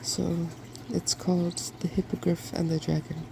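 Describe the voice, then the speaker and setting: Softspoken, creaky, very even ASMR reader. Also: mid-range voice, measured pace. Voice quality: soft-spoken. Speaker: ASMR host and storybook reader. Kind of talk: softspoken ASMR fairy tale reading. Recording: clearly noisy background.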